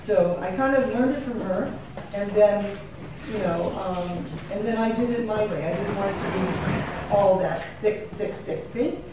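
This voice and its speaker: A woman photographer chats through her work in a rambling, self-correcting way. She is picked up from across a small, very echoey gallery room with no microphone. Steady background noise sits under her voice. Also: medium pitch, measured pace, few pauses, distant mic, highs cut off. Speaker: photographer giving a gallery talk. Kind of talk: casual unscripted talk. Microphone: far room camera, no microphone.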